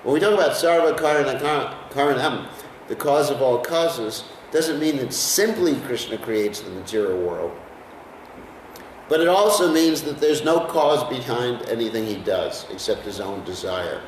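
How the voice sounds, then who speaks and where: A man's voice: medium pitch, far from the mic, background noise. American man lecturing in an echoey room. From United States. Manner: conversational, asks the class questions. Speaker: lecturer on scripture. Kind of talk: scripture class talk. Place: class room with listeners.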